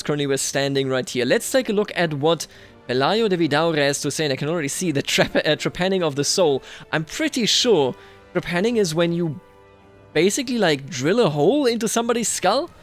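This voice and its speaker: A man hosting a video game roleplay series, giving casual commentary and thinking aloud conversationally as he plays. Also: a little high. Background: background music.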